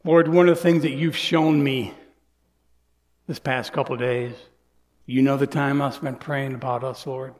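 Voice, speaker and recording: A man in his sixties preaching a sermon as pastor, earnest, dropping to soft near-whispers and rising to emphatic lines. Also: medium pitch, steady tone, moderate pace. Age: 60s. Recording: long hall reverb.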